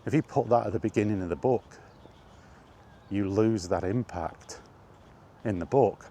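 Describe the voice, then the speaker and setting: A man's voice: lowish voice, normal speed, dark tone, slight background noise. A man talking casually while out on a walk, rambling and correcting himself, getting worked up over his point. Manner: rambling, self-correcting, grows exasperated. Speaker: vlogger. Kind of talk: casual walking monologue. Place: outdoors on a walk.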